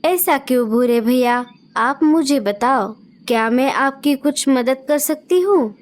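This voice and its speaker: A woman's voice: high voice, measured pace. A woman voicing kind female birds in a cartoon story, speaking sweetly and eager to help. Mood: helpful.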